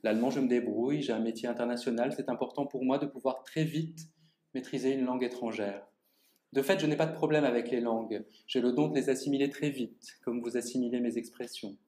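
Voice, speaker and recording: A man performs a public literary reading, turning his measured, theatrical lines straight at the listeners. His voice sits at an ordinary middle pitch. The sound bounces around a roomy gallery space. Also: fairly even intonation, dark tone. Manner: measured, theatrical delivery addressing the audience directly. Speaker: author reading his own text. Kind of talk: public literary reading, first-person monologue. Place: art gallery. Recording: echoey gallery room.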